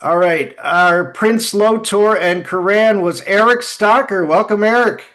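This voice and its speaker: Male podcast host: upper-mid pitch, casual delivery, enthusiastic and appreciative. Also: measured pace, fairly bright tone. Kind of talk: casual podcast hosting, introducing guests.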